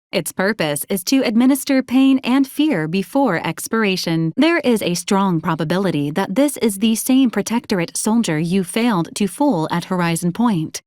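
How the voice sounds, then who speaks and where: Woman acting as female robot companion, video game character, mid pitch, formal, clipped, matter-of-fact, politely deadpan, dry close sound. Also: no echo, close to an everyday voice. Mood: cool, advisory. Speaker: robotic assistant.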